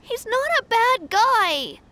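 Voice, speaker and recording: A woman's voice: very high-pitched, sing-song, slow, bright, forceful tone, a little hiss or hum, exaggerated voice. A woman voicing an earnest, spirited little boy in a video game, childlike and emphatic. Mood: earnest, pleading. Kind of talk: animated video game acting.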